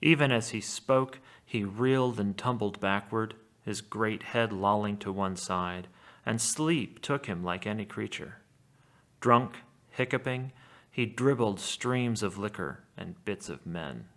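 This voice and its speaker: A man's voice: fairly low pitch, a little slow, fairly bright tone. A man giving a read-aloud lesson as a teacher, explaining in an informal, wry way.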